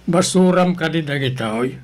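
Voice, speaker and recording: An actress playing a disapproving, grumbling neighbour in an Ilocano radio drama. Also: female voice, low voice, animated, moderate pace. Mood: annoyed, muttering. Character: grumbling, disapproving neighbour complaining about garbage.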